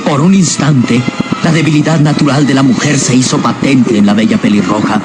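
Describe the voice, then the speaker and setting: Male radio serial narrator over loud music. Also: mid-range voice, normal speed.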